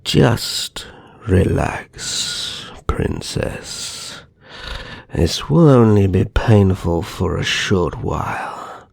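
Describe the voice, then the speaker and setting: A man playing a smugly cruel, vengeful vampire naga in an ASMR roleplay, taunting and drawing out his words in a gravelly voice. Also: low-pitched, expressive, dry recording, studio quality, full character voice. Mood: eager, predatory. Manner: drawn-out, taunting.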